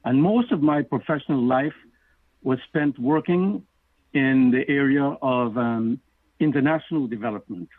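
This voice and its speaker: A middle-aged man from Guyana talks about his book in a measured, storytelling manner. His voice sits at a middling pitch and comes over a thin, tinny phone line. The sound is dry, with no room around it. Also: no room sound. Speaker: author and guest. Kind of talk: interview answers.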